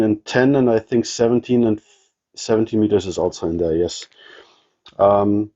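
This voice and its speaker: A man with a German accent showing his radio gear in a casual walkthrough, halting with many ums and restarts. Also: lowish voice, a little mellow, highs cut off. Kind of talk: casual show-and-tell walkthrough.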